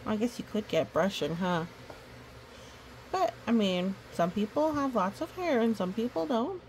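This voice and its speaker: A woman's voice: fairly high pitch, animated, unhurried, a little mellow, slight background noise, rough recording. A woman reading a picture book aloud with playful, chatty asides to the characters and listeners. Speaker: storytime reader.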